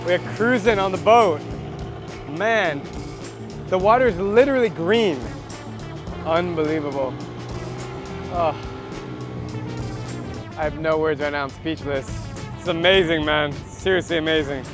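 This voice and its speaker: A man's voice: a little high, unhurried, pauses often. An American man in his thirties hosting a travel vlog in an informal, enthusiastic way. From United States. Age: 30s. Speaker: travel vlogger and host. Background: music.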